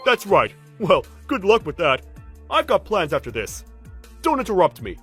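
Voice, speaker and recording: A man's voice: high voice, huge pitch swings, brisk, a bit bright, husky, faint music behind, voice pushed up, full character voice. A man voicing an arrogant, scornful and lazy senior office worker in an anime-style dub, with a sneering, dismissive manner. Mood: brash, dismissive.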